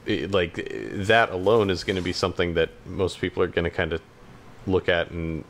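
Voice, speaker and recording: Guy with a deep-ish low voice just chatting on a podcast, kinda halting, lots of you knows and likes. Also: flat delivery, a bit bright, slight background noise. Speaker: podcast co-host. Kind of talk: casual chat.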